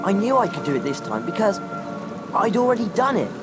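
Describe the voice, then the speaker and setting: A man's voice: high voice, very animated, a little fast, over loud music. A young man playing a brave, determined teenage wizard boy with a British accent in a video game. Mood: urgent, sure.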